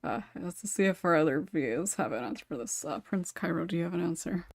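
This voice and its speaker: A woman's voice: on the low side, expressive, fast, no echo, clean studio sound. A woman with a chatty, giggly, informal manner, hosting a casual panel discussion. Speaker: podcast host and writer.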